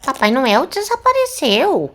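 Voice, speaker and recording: A woman's voice: high-pitched, huge pitch swings, measured pace, fairly bright tone, exaggerated voice. A woman voicing a small, fretful Santa's helper in a children's cartoon. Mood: worried.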